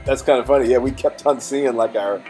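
A man's voice: medium pitch, fairly quick, warm tone, soft background music. A middle-aged man vlogging a cruise ship tour, talking in an easygoing, agreeable way and often restarting his sentences. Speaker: travel vlogger. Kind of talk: casual travel vlog chat. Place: aboard a cruise ship, outdoor deck and corridors. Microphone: handheld camera at arm's length.

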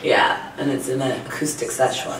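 A woman's voice: very deep voice, little pitch movement, brisk, slight background noise. A woman from Tanzania speaks as a guest in a studio interview. She is earnest and conversational, explaining things as she goes. The sound is roomy and slightly distant from the microphone. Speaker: guest singer-songwriter being interviewed. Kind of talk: casual interview talk. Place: small radio studio. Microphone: studio microphone on boom arm.

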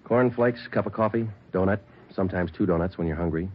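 An actor playing a dogged police detective who questions a suspect in a clipped, matter-of-fact way, in 1940s radio acting. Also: male voice, deep voice, a little fast, muffled recording. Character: dogged male police detective, calm and relentless.

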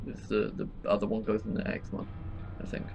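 A young man chatting casually through a video game, a bit hesitant and quick to swear. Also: on the low side, completely flat delivery, a little mellow, lo-fi sound. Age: young adult. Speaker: gaming video co-host. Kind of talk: casual let's-play commentary. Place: small room indoors at a computer. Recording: clearly noisy home recording.

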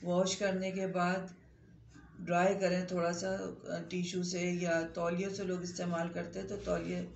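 A middle-aged woman doctor talks straight to a close phone camera, her voice hoarse from a sore throat and gravelly with fry. She explains remedies in a chatty, instructive way and holds up the products she means. The small clinic room lined with shelves sounds echoey, with a faint hiss underneath. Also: fairly low pitch, flat delivery, moderate pace, darkish tone. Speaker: doctor giving skin-care advice. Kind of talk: direct-to-camera health advice talk.

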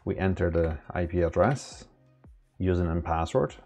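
A man in his thirties with a very low, gravelly voice talks through a software setup at an easy pace. He fills gaps with ums and uhs as he goes. Faint music sits under his voice. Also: moderate pace. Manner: relaxed, step-by-step with many fillers. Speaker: tech tutorial presenter. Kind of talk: casual screen-recorded tutorial. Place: small room indoors.

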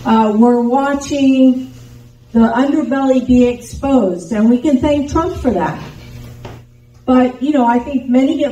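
Older woman, raised in Taiwan, native Chinese speaker, campaign speech, slow and earnest, roomy distant recording. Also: fairly high pitch, warm tone. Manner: earnest, rambling with frequent restarts. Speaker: congressional candidate. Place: indoor meeting room with bookshelves. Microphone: handheld microphone. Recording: roomy sound from a distant camera.

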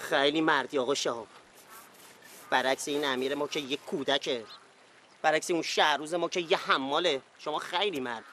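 Dramatic show-off who sulks, fairly high voice, faint background noise. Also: male voice, sharp tone. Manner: theatrical, mock-praising, sulky. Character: boastful, self-pitying grown man, dramatic.

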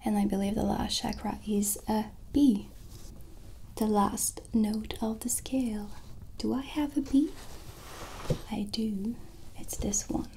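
A woman whispering softly and intimately in a soothing, breathy voice, as an ASMR host in a roleplay. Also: mid-range voice, steady tone, slight background noise. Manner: soft, slow, soothing, intimate. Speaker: ASMR host playing a witch shopkeeper. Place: small dim indoor set.

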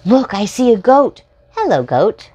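A woman in her forties reading a children's picture book aloud as a storytime host, in simple, deliberately spaced phrases for preschoolers. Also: a little high, big pitch swings, dark tone. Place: small furnished room at home, indoors. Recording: home recording with faint background noise.

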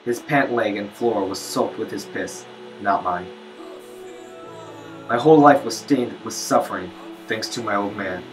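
A man's voice: fairly low pitch, unhurried, pauses often, over music, close to an everyday voice. A man acting as a haunted, poor young father, narrating his bitter confession as a dramatic monologue. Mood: bleak, bitter. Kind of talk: first-person dramatic monologue narration with music.

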